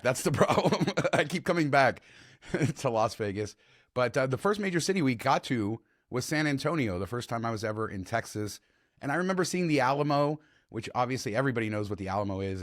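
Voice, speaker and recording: A man hosting a travel podcast, chatty and enthusiastic, his voice slightly hoarse, on a close podcast mic. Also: mid-range voice, dry recording. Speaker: podcast host. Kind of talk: casual travel podcast chat.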